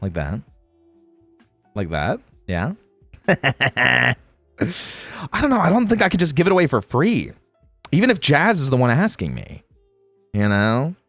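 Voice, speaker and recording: Male streamer, very animated pitch swings, playful teasing, casual chat, close dry sound, music behind. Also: a little slow, long pauses, dry recording. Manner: exclamatory, animated reactions. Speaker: streamer and host; game voice actor. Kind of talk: casual livestream chat, greeting viewers.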